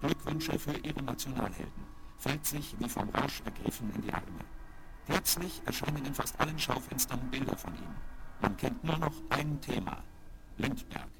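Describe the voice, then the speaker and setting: A man's voice: mid-range voice, expressive, normal speed, creaky. A man narrating a German children's audio drama in a scripted, dramatic documentary style. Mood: urgent, dramatic. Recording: vinyl rip with loud background noise.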